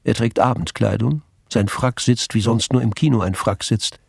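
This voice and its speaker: A man's voice: mid-range voice, moderate pace, gravelly, darkish tone, husky, no echo, studio quality. A man narrating a literary crime radio play. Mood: measured. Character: male narrator of a crime story.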